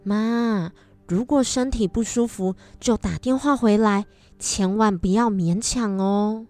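A woman's voice: medium pitch, takes it slowly, darkish tone, no room sound, soft background music, lightly acted. A woman acting a caring, admiring female character in a children's radio drama. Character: caring mother and gushing elderly woman friend.

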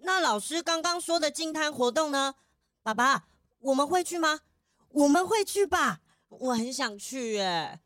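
A woman's voice: sits high, expressive, a little slow, a bit bright, no echo, studio quality. A woman voicing an eager, earnest young schoolboy with a childlike manner in a children's audio drama. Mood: earnest, pleading.

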